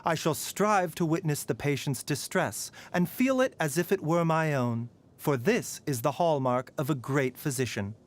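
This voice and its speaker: An actor playing a gentle, scholarly doctor and herbalist in a video game, composed, polite and measured. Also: male voice, on the high side, animated, close to an everyday voice. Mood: solemn, compassionate. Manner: measured, polite, softly sighing and chuckling. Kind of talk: scripted game character voice lines. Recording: music behind the voice.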